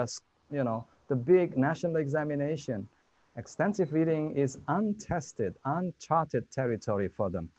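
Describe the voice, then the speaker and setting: A man moderating a panel, putting questions to the speakers in a measured way. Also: fairly high pitch, warm tone, no room sound. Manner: measured, asks questions, frequent listening murmurs. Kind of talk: panel discussion.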